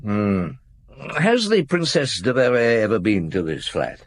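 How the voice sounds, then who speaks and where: Unhurried, probing chief inspector for radio drama; husky voice, very dry close sound. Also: male voice, on the low side, huge pitch swings, dry recording, exaggerated voice. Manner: musing hums, probing questions. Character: shrewd, unhurried male French chief inspector, blunt and probing. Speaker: actor in the lead role of a radio detective drama. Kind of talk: British radio drama acting with first-person narration.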